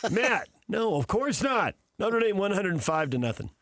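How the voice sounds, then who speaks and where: A man co-hosting a sports radio show, chatting casually in a playful, animated way from a studio. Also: sits high, a little slow, no echo. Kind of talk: casual sports talk banter.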